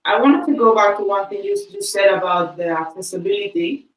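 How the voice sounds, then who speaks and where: A woman whose first language is Spanish, co-hosting a podcast and telling stories in a casual, lively way with frequent laughs. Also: medium pitch, a little fast, recorded from a distance, slight background noise. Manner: lively storyteller, laughs often, says "like" a lot. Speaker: podcast co-host. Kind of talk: casual chat, storytelling. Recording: echoey room, off-mic.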